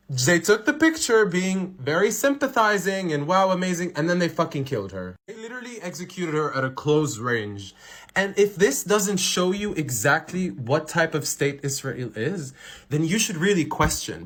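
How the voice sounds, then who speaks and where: A man with a husky voice gives impassioned commentary. His pitch swings widely, and he sounds indignant and emphatic, swearing as he goes. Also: fairly bright tone. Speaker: online content creator.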